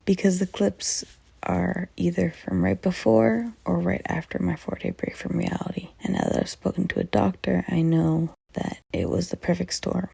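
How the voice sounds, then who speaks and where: A young woman from Ecuador narrating a personal documentary vlog in a soft, confessional way, often close to a whisper. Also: fairly low pitch, vocal fry, husky. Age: young adult. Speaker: vlogger.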